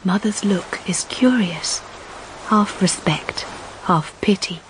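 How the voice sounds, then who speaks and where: A woman's voice: medium pitch, takes it slowly, a little hiss or hum, lightly acted, low-quality recording. An actress narrating as a reflective young Victorian woman in an audio drama, with a composed, dryly wry delivery. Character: reflective Victorian young woman telling her own story. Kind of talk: first-person scripted narration, audio drama.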